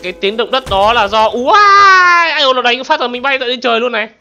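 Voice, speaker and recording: A man giving excitable gameplay commentary as a channel host, often exclaiming and addressing his viewers. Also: extremely high pitch, brisk, lo-fi sound, faint music behind.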